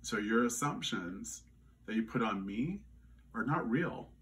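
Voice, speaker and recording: Earnest, low-voiced man in interview. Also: lively intonation, fairly bright tone, recorded from a distance, slight background noise. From Louisiana. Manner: earnest, measured, with frequent restarts. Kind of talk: reflective interview answers.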